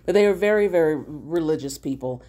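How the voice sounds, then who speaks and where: A woman's voice: low-pitched, expressive, fairly quick, mellow. A woman giving casual, conversational reaction commentary in an approving manner.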